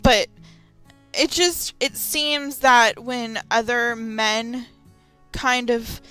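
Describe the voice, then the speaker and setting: Young woman, very bright voice, faint background music, earnest, emphatic, halting, casual personal rant. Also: sits high. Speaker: gamer, video maker.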